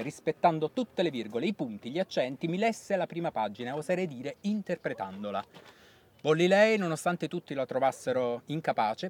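Casting: stubborn old woman near death, played by a man, measured stage storyteller recounting her life. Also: fairly high pitch. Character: dying old deaf woman, stubborn, recalling her reader. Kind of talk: theatrical monologue.

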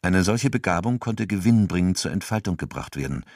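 A man's voice: low voice, animated, a little fast, a little mellow, no room sound, studio quality. A man narrating a crime radio drama from a script as its storyteller.

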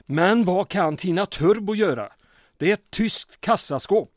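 An actor playing a small-town mayor under occupation in an audio drama, earnest but wry and weary. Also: male voice, high voice, expressive, no room sound, studio quality.

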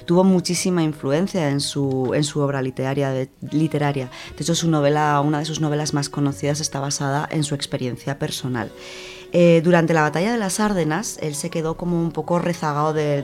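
A woman's voice: low voice, darkish tone. Spanish woman presenting informally, faint music behind. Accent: Spain. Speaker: radio book-segment contributor.

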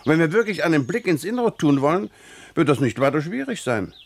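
A man playing a courteous, wry English gentleman husband in a German radio drama, urbane and fond of explaining. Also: fairly high pitch, sing-song, brisk, creaky, full character voice. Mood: wry amusement.

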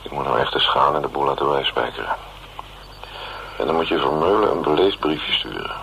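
Very deep, gravelly, sardonic investigator. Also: male voice, a little fast, pushed and bright, exaggerated voice. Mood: brisk, wry. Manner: wry, grumbling, colloquial. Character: gruff, sardonic male investigator dictating notes to his secretary. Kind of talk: Dutch radio drama, dictation on tape. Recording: slightly noisy radio drama recording.

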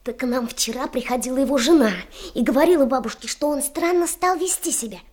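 Brisk schoolgirl gossip, high-pitched, confiding and bossy, children's radio drama. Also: a little mellow. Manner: confiding, emphatic. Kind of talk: children's radio play acting.

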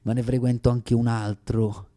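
A man performing a comic radio theatre monologue as an actor, with deliberate, wry, dramatic phrasing and pauses. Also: mid-range voice, flat delivery, dark tone.

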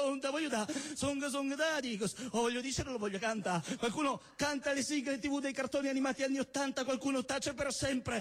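Male stage poet-comedian, high voice, theatrical declamation with sudden spoken asides. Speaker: stage poet and comic performer. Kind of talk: declaimed satirical spoken-word performance for a live audience. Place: live stage at a party show.

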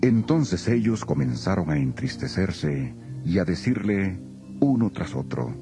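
A man's voice: low-pitched, sing-song, a little slow, gravelly, over music. A man narrating a dramatized scripture recording as a solemn storyteller.

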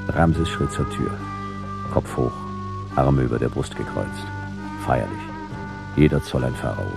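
A hard-boiled private eye narrating a radio play in a very deep, gravelly voice. He is laconic and dry, speaking in clipped phrases over music. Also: a little slow, full character voice. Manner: terse, dry, clipped sentences. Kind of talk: noir radio drama narration.

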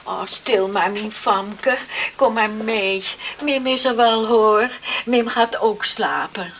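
An actress in a radio play playing a gentle middle-aged mother and wife, speaking in a soft, tender, pleading way. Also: mid-range voice, takes it slowly. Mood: tender, soothing. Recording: hissy old radio recording.

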